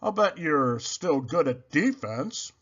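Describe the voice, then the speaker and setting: A man's voice: on the high side, sing-song, takes it slowly, fairly bright tone, lo-fi sound, voice pushed up, exaggerated voice. A man voicing a jolly, winded but determined old Santa Claus in a children's storybook, with a hearty theatrical delivery. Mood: gentle, encouraging.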